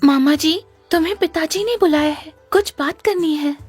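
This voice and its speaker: A woman's voice: high voice, normal speed, faint music behind, natural voice. A woman voicing a young village shepherd girl in a cartoon story, sweet but determined. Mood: firm. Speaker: voice actor.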